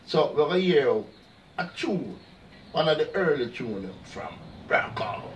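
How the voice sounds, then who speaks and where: A man's voice: on the high side, measured pace, a little hiss or hum. A man hosting a casual interview, addressing the audience and using patois phrases. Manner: lively, uses patois phrases like "big up". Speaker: interview host. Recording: distant mic, faint ambience.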